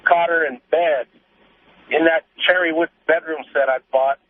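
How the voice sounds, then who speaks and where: A male caller in his forties, heard over a dry, narrow phone line. His voice is a bit high, and he tells his story casually and haltingly. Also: steady tone, no room sound. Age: 40s. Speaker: radio show caller. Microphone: telephone.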